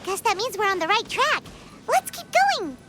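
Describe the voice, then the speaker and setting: A woman voicing a tiny, excitable female fairy companion in a game, talking in a bouncy, eager sing-song. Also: extremely high pitch, moderate pace, slight background noise, full character voice.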